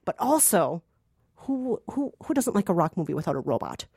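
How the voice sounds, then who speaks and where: A woman's voice: lowish voice, big pitch swings, normal speed, gravelly, a little mellow, husky, no room sound, studio quality. A woman hosting a film review podcast, telling things in a dramatic, emphatic way. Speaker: podcast host. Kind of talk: lively film review chat.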